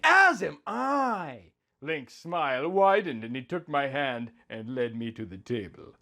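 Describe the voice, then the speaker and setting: A man reading a romantic story aloud in a dramatic, drawn-out, theatrical manner. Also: medium pitch. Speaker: narrator reading a fan story. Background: background music.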